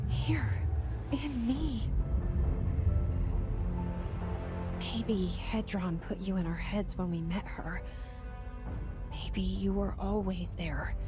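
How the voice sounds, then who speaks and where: An actress playing a resolute woman director in a video game, delivering measured, hushed, introspective monologue. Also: mid-range voice, close to an everyday voice. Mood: searching, intimate.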